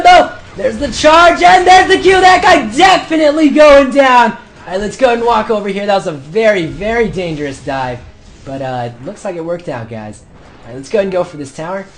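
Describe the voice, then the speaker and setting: A young man commentating his own online match, shouting through the fights and calm in between, his voice going hoarse. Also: very high-pitched, slight background noise. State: losing his voice. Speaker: gameplay commentator. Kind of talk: excited gameplay commentary.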